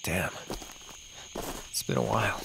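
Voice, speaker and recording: Man acting as male vampire, sardonic, weary, halting, gravelly fry, intimate ASMR roleplay, noisy ambient backdrop. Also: deep voice, lively intonation, voice pushed down, full character voice. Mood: weary, grudging. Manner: wry, halting, murmured asides with sighs.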